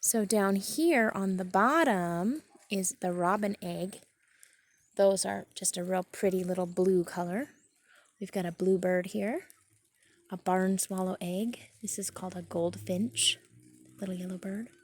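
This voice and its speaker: A woman's voice: mid-range voice, measured pace. A woman reading a picture book aloud like a teacher, adding simple, chatty explanations for children outdoors in a garden.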